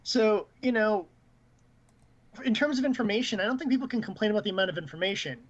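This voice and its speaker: High-voiced man on a muffled stream. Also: fast talker. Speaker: co-host of a gaming livestream. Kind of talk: casual chat and commentary on games news.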